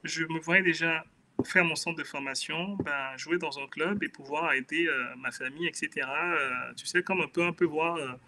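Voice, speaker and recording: A man's voice: fairly high pitch, normal speed, background noise. A man from Benin with a Beninese accent, telling his own life story in an earnest, reflective way as a guest in a casual interview. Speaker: guest, author.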